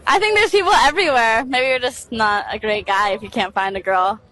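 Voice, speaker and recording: A woman's voice: on the high side, fairly quick, pushed and bright. A young woman answering a street interview into a handheld mic, casual and dismissive. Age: young adult. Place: city street at night.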